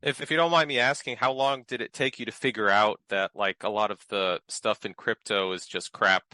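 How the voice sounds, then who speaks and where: A man hosting a podcast, talking in a relaxed, friendly way with small restarts. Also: fairly low pitch, fairly quick, no room sound. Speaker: podcast host. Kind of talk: casual podcast interview.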